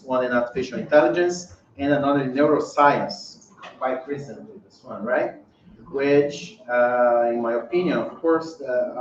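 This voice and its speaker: A man's voice: medium pitch, slight background noise. Man introducing a speaker in an echoey room. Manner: reads a prepared introduction with small slips. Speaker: seminar host. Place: seminar room. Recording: distant, roomy, dull lo-fi hall recording.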